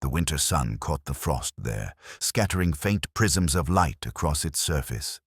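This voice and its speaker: Man acting as weary older male detective, extremely low pitch, lively intonation, melancholy, reflective, measured, literary radio mystery narration. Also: husky, no room sound, studio quality, full character voice. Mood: sombre, reflective.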